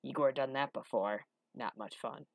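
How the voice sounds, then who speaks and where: A woman voicing an ancient male hunchbacked dungeon dweller in a storybook, with a low gravelly growl and halting, broken, childlike speech. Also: no room sound, exaggerated voice. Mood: simple, matter-of-fact.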